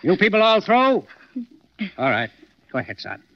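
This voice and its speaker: Gruff older cop, kinda high lively voice, old muffled radio play. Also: male voice, moderate pace, no echo, full character voice. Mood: flustered. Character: gruff older police inspector, father of the detective. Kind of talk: 1940s radio acting. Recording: dull vintage 1940s radio recording.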